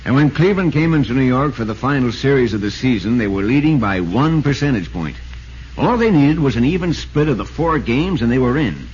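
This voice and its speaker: Animated American newspaperman in vintage radio drama played by a man. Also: medium pitch, brisk, lightly acted. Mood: dramatic storytelling. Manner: dramatic, insistent. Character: earnest, urgent male baseball reporter telling his story. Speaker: narrator and actor in a radio drama. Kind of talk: 1940s radio acting, dramatic narration. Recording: muffled, noisy vintage radio recording.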